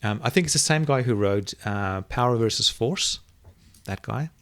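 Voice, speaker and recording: A middle-aged man whose first language is Afrikaans, reflectively telling a personal story as an interview guest and often pausing to search for words. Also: mid-range voice, expressive, no room sound. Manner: thoughtful, searching for words, many restarts.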